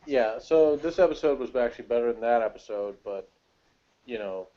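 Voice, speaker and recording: A man's voice: mid-range voice, lively intonation, moderate pace, warm tone, highs cut off, slight background noise. A man chatting casually as co-host of a sci-fi TV review, rambling and searching aloud for details. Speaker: podcast co-host.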